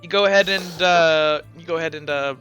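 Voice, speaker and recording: A man running a tabletop roleplaying game as game master, narrating off the cuff in a halting, conversational way. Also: on the high side, steady tone, pushed and bright. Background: music.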